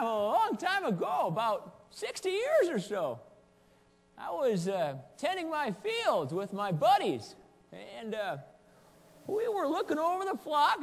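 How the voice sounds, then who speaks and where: Folksy old shepherd, high voice swooping widely, sassy and excitable, comic stage monologue in an echoing hall. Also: male voice, gravelly, full character voice. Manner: rambling, playful asides, scolding and chuckling. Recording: long echoing hall reverb.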